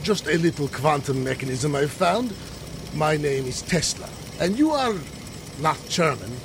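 A man's voice: on the high side, lively intonation, a little slow, vocal fry, noisy background. A man playing a grandiose, confident time-travelling inventor with precise, formal, theatrical speech in an old-time radio adventure. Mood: brisk.

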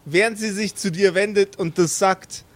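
A man's voice: sits high, fairly even intonation, moderate pace. A man narrating an improvised tabletop game as game master, with a playful, teasing manner.

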